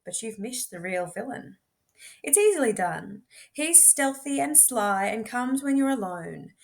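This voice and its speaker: A woman reading a storybook aloud expressively, in a measured, dramatic way with deliberate pauses. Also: a little high, animated, vocal fry. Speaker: teacher reading books aloud.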